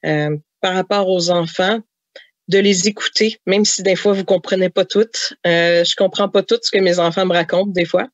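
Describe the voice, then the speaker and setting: A woman's voice: lowish voice, steady tone, normal speed, no echo, highs cut off. A woman with a Québécois accent, a novelist and mother, telling anecdotes in a podcast interview, chatty with many fillers and laughs.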